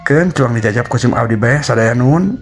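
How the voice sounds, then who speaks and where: A man's voice: mid-range voice, animated, normal speed, pitched above the performer's own voice, close to an everyday voice. A man voicing a deferential, ceremonious queen of ant spirits in a folktale, speaking formally and humbly. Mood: humble, courteous. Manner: formal, humble, respectful address. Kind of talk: Sundanese folktale storytelling. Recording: music behind the voice.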